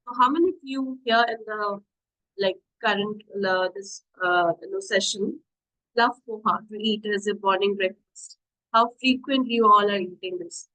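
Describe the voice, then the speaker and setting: A woman's voice: mid-range voice, moderate pace, pushed and bright, rough recording. A young woman with an Indian accent, giving a nutrition webinar over a video call in a chatty, encouraging way and asking her audience questions. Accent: Indian English. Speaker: diabetes nutrition coach presenting a webinar. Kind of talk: online webinar talk, mixing English and Hindi. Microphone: computer mic on a video call.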